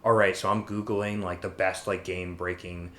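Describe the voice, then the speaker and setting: A man's voice: low-pitched, little pitch movement, moderate pace, a bit bright. A man chatting casually as a podcast co-host, giving his opinions in an informal, hedging way. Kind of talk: casual chat, discussion. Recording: roomy, echoey room sound.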